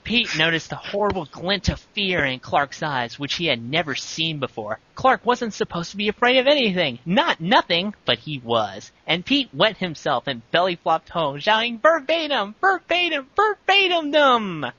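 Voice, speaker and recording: A man's voice: on the high side, big pitch swings, moderate pace, hardly any pauses, pushed and bright, no room sound, muffled recording. A man giving a comic dramatic reading of fan fiction with casual asides, in an exaggerated, theatrical delivery.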